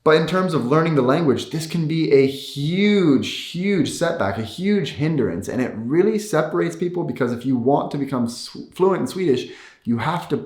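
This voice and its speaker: A man's voice: medium pitch, darkish tone. A man, a native English speaker, talks casually and explains things to viewers, repeating key words for emphasis. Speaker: video creator and host. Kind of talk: casual explanatory talk to camera. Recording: echoey room.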